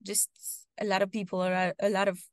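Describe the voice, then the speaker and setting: A woman's voice: medium pitch, little pitch movement, quick pace. A young woman with a Romanian accent speaks on a video call from home, in a casual, hesitant way full of ums and small restarts. Her voice sits in a middle register. The sound is dry and close, with little room echo. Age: young adult. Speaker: guest. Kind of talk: casual interview answers.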